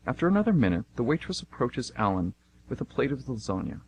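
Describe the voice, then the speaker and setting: A man's voice: medium pitch, sing-song, fast talker, a little mellow, slightly rough, low-quality recording. A man reading scripted narration for an amateur radio play.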